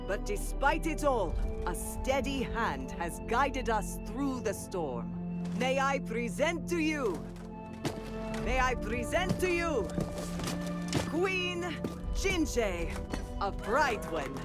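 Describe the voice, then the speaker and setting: Stately game herald: declamatory, solemn, lively pitch swings, over loud swelling music. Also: female voice, slow. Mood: solemn, proud. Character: stately royal announcer proclaiming a new queen. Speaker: video game character. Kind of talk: ceremonial proclamation.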